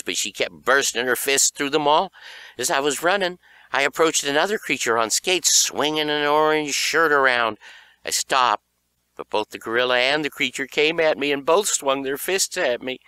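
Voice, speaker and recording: A man narrating a children's horror audiobook in an expressive storytelling style. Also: medium pitch, moderate pace, clean tone, no room sound, poor audio.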